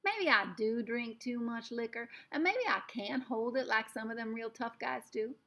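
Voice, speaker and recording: A woman voicing a tiny, self-pitying, hard-drinking cowboy man with a put-on Texas drawl and a rough edge. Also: fairly high pitch, moderate pace, sharp tone, pitched above the performer's own voice. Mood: aggrieved. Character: crying drunk tiny Texan cowboy man.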